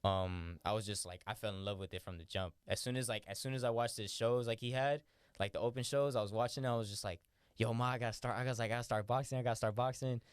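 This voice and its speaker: A young man, a boxer, chatting casually in an interview, relaxed and rambling with lots of "like" and "you know". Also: mid-range voice, fast talker, clean tone, no echo, clean studio sound. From born in New York, raised in Florida. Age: young adult. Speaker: professional boxer, interview guest.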